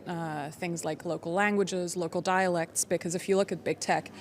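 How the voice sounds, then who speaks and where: Measured low-voiced woman panelist. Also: slight background noise. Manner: measured, argumentative. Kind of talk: panel discussion.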